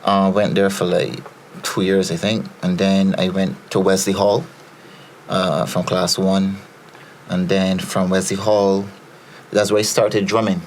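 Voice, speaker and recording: A Barbadian man with a low, grounded voice chats loosely as a podcast guest. He rambles through stories with plenty of fillers and repeated starts, and a faint hiss sits behind him. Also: level tone, a little slow. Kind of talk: casual storytelling chat.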